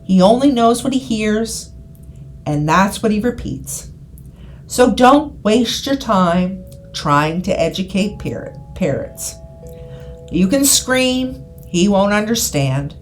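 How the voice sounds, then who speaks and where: A woman reading a scripted guided hypnosis session in a soothing, deliberate manner, with repeated phrases and pauses. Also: medium pitch, animated. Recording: voice over background music. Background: background music.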